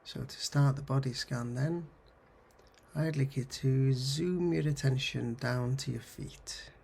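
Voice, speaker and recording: A man leading a guided meditation in a husky, gravelly voice, giving gentle, soothing instructions in short phrases with pauses. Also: mid-range voice. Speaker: counsellor guiding mindfulness. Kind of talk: guided meditation, body scan.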